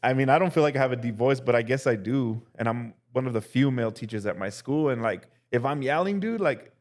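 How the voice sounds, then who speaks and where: A young male teacher telling casual stories as a podcast guest, relaxed and full of "like" and "dude". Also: mid-range voice, fast talker. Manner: relaxed, heavy use of "like" and "dude". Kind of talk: casual storytelling chat.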